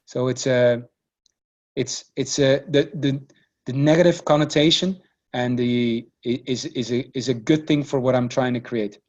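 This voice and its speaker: Dutch-native man, halting speech. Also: mid-range voice, fairly even intonation, a little mellow, highs cut off. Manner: hesitant, many restarts and repeated words. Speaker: podcast guest. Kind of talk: casual conversational interview.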